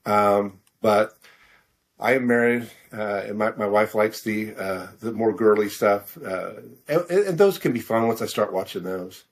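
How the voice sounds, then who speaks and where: A middle-aged American man answering questions in a casual interview, halting and self-deprecating as he searches for his words. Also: lowish voice. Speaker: author interview guest.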